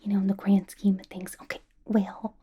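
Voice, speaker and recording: A woman's voice: medium pitch, completely flat delivery, brisk, dark tone, a little hiss or hum, lightly acted. A woman playing a manic, chatty, mischievous young female villain in an asylum, in a playful, slangy ASMR roleplay whisper. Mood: mischievous, chummy. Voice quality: breathy whisper. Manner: playful, slangy, conspiratorial gossiping.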